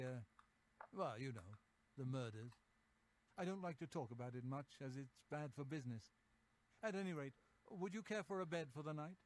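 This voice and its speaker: A man's voice: on the high side, slight background noise, natural voice. A man playing a weary innkeeper. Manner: polite, hesitant, slightly gossipy. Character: weary, businesslike male innkeeper. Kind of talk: video game dialogue.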